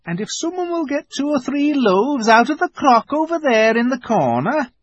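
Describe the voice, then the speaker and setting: A man voicing a brisk, unflappable, motherly female beaver in a storybook, with cosy, homely, practical talk. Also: very high-pitched, big pitch swings, slightly rough, no echo, lo-fi sound, pitched above the performer's own voice, heavily acted. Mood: brisk, calm.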